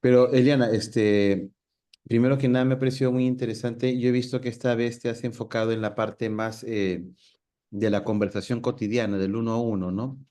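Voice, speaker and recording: Mellow-voiced man. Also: mid-range voice, steady tone, a little fast. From Peru. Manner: reflective, asks the guest's view, many fillers. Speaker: host. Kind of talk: casual conversational talk.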